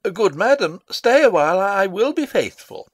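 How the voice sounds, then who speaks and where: Long-winded old courtier, higher-pitched, very animated, theatrical, self-satisfied, classical stage drama. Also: male voice, normal speed, husky, dry recording, full character voice. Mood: self-important, earnest. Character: pompous, long-winded old male royal counsellor and father. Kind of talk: classical Shakespearean stage acting, dramatic reading.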